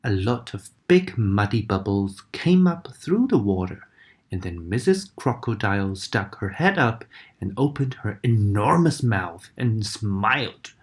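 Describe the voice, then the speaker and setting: A woman reading a children's storybook aloud with expressive, dramatic narration that sometimes drops into a whisper. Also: rumbling low, unhurried.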